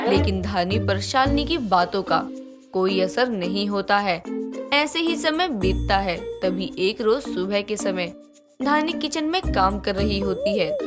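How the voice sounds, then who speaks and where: A woman reading scripted moral-story narration as the storyteller. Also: medium pitch. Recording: music behind the voice.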